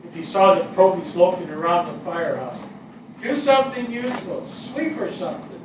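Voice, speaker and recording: A man's voice: sits high, expressive, recorded from a distance. Older man acting a grieving fire captain, echoey. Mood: wistful. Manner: plain-spoken, halting, searching. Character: grieving fire captain, plain-spoken and bewildered. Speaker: actor in a staged play reading. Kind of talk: dramatic stage reading. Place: small indoor theatre stage before an audience. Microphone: distant camera mic. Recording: echoey stage picked up from afar, noisy.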